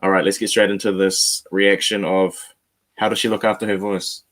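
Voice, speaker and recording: A man's voice: low-pitched, flat delivery, normal speed, a bit bright. A man hosting a reaction video, talking straight to his viewers in a laid-back, slangy way. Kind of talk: casual reaction commentary.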